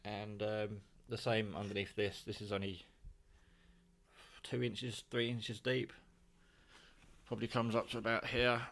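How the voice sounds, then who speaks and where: A British man with a fairly low voice presents a homemade project from his kitchen. He speaks in an informal, rambling, unscripted way, with the odd mild swear word, like a hobbyist talking to his viewers. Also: little pitch movement, measured pace, pauses often, a bit bright. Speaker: hobbyist vlogger presenting own radio build. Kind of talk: casual unscripted demonstration talk. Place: home kitchen.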